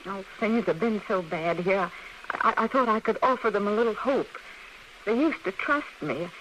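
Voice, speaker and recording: An actress playing an anxious, guilt-ridden frontier woman in an old radio western, halting and repeating words. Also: mid-range voice, animated, close to an everyday voice. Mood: regretful. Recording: faintly noisy vintage radio recording.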